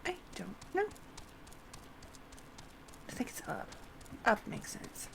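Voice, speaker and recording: A woman's voice: fairly high pitch, very animated, slow pace, gravelly, noisy background. A woman doing casual live gameplay commentary, muttering and thinking aloud to herself. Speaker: game streamer.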